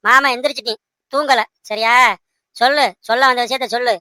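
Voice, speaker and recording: A woman plays a young cartoon man with a highish, smooth and clear voice. He is bossy and coaxing, pressing with short, repeated questions and commands. The sound is close and dry. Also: fairly even intonation, brisk, pushed and bright, dry recording. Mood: coaxing, curious. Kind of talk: amateur cartoon dubbing.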